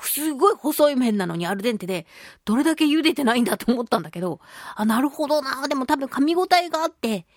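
A woman hosting a radio show, chatting casually and reading listener mail in a playful, expressive way. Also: sits high, fast, no room sound, clean studio sound. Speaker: radio show host, voice actress.

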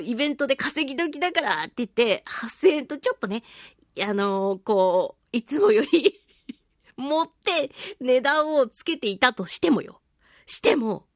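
Animated, high-pitched woman chatting. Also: no room sound, clean studio sound. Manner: animated, chatty storyteller with playful self-mockery. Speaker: host of a talk radio show, voice actress. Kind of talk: casual monologue, telling a personal anecdote.

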